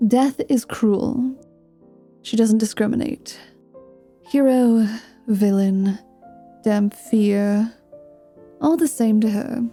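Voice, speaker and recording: A woman performs a female half-vampire mercenary from a fantasy audio roleplay. She is sardonic, world-weary and a little unhinged, speaking slowly in a mellow, slightly high voice. Her delivery is teasing, dry and theatrical. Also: fairly even intonation, faint music behind. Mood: sardonic, brooding. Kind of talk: ASMR fantasy roleplay acting.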